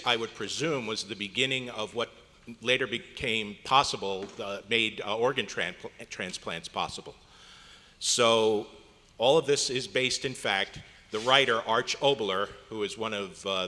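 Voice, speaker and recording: A man's voice: lowish voice, sharp tone. A man giving an introductory talk to a live audience over a microphone. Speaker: club member introducing a radio play recreation. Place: hotel convention meeting room, indoors. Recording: echoey hotel meeting hall, noisy background.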